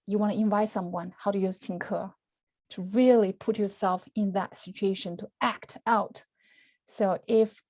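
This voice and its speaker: Woman teaching, dark dry voice. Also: medium pitch, no echo. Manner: frequent restarts and soft laughs; speaks English as second language, simple grammar. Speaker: Mandarin teacher. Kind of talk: online class talk.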